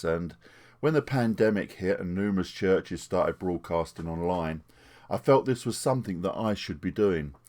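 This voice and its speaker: A man's voice: sits low, a little mellow. A retired pastor in his sixties from England, telling his life story to camera in an earnest, plainspoken way. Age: 60s. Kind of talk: personal testimony to camera. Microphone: desktop microphone.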